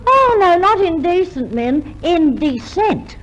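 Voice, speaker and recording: Excitable, swooping comedy woman. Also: very high-pitched, slow pace, dull audio, exaggerated voice. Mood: excited. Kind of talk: old radio comedy acting. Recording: noisy old radio show recording.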